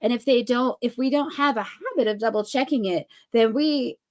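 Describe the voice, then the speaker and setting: Woman podcast co-host, somewhat high voice, reflective and conversational. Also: moderate pace. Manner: thoughtful, reflective, with frequent restarts. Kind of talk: casual conversation.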